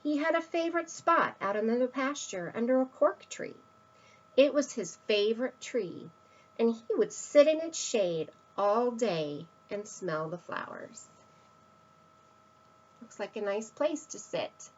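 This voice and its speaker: A woman's voice: fairly high pitch, expressive, a little slow, muffled recording. A woman reading a children's picture book aloud, expressively, with emphatic stresses and asides to young listeners. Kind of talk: read-aloud children's storytelling.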